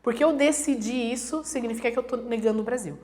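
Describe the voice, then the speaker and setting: A woman's voice: fairly high pitch, quick pace, warm tone. A woman from Brazil, a guest professor, explaining things conversationally and acting out examples in quoted voices. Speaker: guest, international relations professor.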